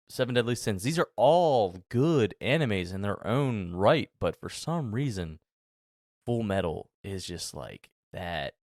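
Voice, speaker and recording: Deep-voiced man rambling on a podcast. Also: no echo, studio quality. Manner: rambling, many fillers and restarts. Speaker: podcast host. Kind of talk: casual solo podcast talk.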